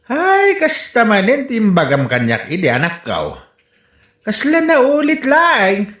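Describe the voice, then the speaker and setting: A man's voice: high-pitched, huge pitch swings, measured pace, a bit bright, echoey room, heavily acted. A man with an Ilocano accent acting an incredulous, exasperated village father in a comedy radio drama.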